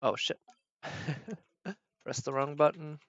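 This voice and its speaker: A man's voice: a little high, steady tone, takes it slowly, fairly bright tone, dry recording, lo-fi sound. A man doing casual live gameplay commentary as a streamer, thinking aloud as he narrates his own decisions. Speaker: game streamer.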